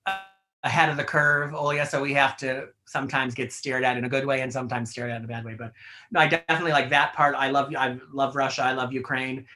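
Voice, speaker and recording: A man's voice: medium pitch, steady tone, fast talker, bright, forceful tone. A middle-aged man who works as a fashion editorial director, answering interview questions in a chatty, rambling way full of "you know" and "like".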